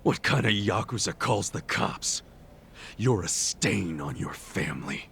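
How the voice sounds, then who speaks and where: A man playing a gutsy, righteous, hot-headed low-ranking yakuza in a game cutscene, blunt and streetwise in his talk. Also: expressive, a little slow, creaky, a bit bright, a little hiss or hum, heavily acted. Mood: disgusted. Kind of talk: dramatic game cutscene voice acting.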